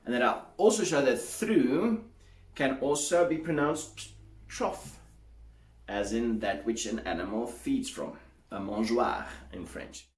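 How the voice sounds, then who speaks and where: Echoey male English teacher explaining. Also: mid-range voice. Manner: measured, explanatory, demonstrates sounds aloud. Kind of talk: solo teaching monologue.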